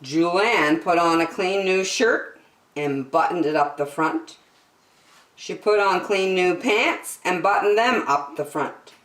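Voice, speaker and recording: A woman reads a children's story aloud at an unhurried pace. Her pitch swings widely as she stresses words with care, and the room sounds echoey. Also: distant mic. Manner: expressive, with deliberate emphasis. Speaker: children's story-time reader. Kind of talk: animated picture-book read-aloud.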